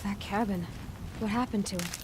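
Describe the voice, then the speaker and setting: Woman acting determined young game huntress, mid-pitched. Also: moderate pace, background noise, close to an everyday voice. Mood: curious, resolute. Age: young adult. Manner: earnest, resolute, direct. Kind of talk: naturalistic video game acting. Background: faint background music.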